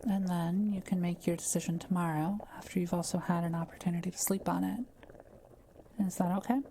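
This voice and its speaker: A woman playing a kind, soft-spoken forest witch in an intimate audio roleplay, talking to the listener in gentle, formal, old-fashioned wording. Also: on the low side, little pitch movement, brisk, a little hiss or hum, close to an everyday voice. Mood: gentle, earnest.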